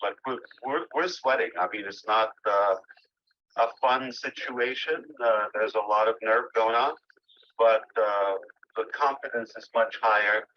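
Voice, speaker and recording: Man on a dull, lo-fi call, halting delivery. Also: on the low side, slight background noise. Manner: halting, hesitant delivery with pauses mid-phrase. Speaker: company leader giving an update to members. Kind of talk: informal spoken update on a group call. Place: remote group call. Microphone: phone or internet call line. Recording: dull lo-fi phone call audio.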